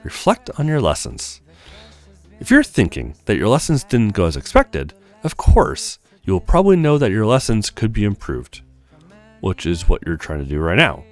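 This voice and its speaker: A man's voice: fairly low pitch, wildly swooping pitch, moderate pace, soft background music. A man voicing a helpful, studious advisor in visual novel dialogue read aloud. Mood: instructive. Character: helpful, studious advisor, easily flustered, giving teaching pointers.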